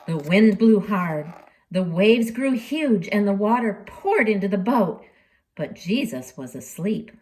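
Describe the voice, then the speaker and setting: A woman reads a storybook aloud for children. Her pitch is lively and varied around a middle register, and she tells it dramatically, pausing deliberately and leaning on key words. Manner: dramatic, with deliberate pauses and emphasis. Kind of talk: expressive storybook reading for children.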